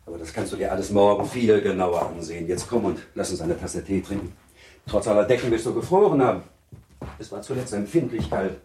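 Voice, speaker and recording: An actor playing a courteous, gently patronizing baron and older husband in a German radio play, speaking in a measured, formal, reassuring way. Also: male voice, lowish voice, slight background noise, natural voice. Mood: calm, reassuring.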